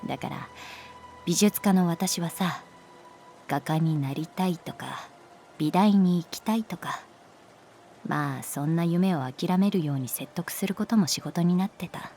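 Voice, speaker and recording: Audio drama teacher: lowish voice, slow measured delivery, gentle, resigned and quietly wistful. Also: female voice, soft background music. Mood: wistful, confessional. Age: adult. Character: calm, resigned adult woman art teacher, gently protective. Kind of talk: Japanese audio drama acting, intimate dialogue.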